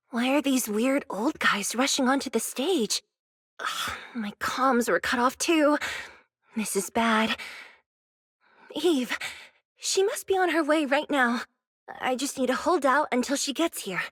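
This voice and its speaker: A spirited young pop idol from an anime game, high and very animated. She is dreamy yet determined and expressive, closely recorded with music underneath. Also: slow pace, long pauses, breathy edge, no room sound, studio quality, exaggerated voice. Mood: uneasy. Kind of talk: anime-style game voice acting.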